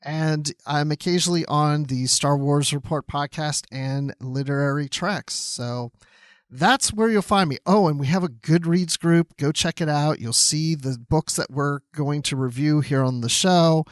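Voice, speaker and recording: A man's voice: on the high side, dry recording, studio quality. A man co-hosting a podcast in casual, chatty conversation, readily agreeing with his partner. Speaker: podcast co-host.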